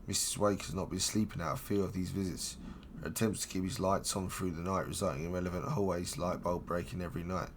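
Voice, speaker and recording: Hoarse low-voiced man reading aloud. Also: fast talker, noisy background. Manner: reads in a flat steady voice, swears casually. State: hoarse, losing his voice. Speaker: gameplay streamer. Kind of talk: casual let's-play commentary and reading game documents aloud.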